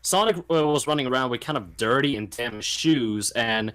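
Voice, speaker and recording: A man's voice: mid-range voice, moderate pace, fairly bright tone. A man talking casually about movies as a guest on a podcast.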